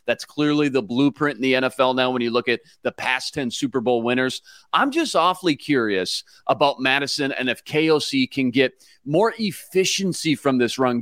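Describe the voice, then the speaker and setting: A man co-hosting a sports podcast, giving brisk, stat-heavy analysis in a prepared way. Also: medium pitch, fairly bright tone, no echo, clean studio sound. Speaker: producer and co-host.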